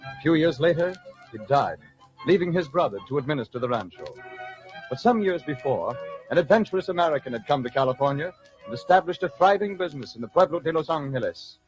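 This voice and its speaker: An actor as the formal narrator of an old-time historical radio drama, reading scripted narration. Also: male voice, on the high side, expressive, fast, faint music behind, lightly acted. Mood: measured, formal. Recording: muffled old radio recording.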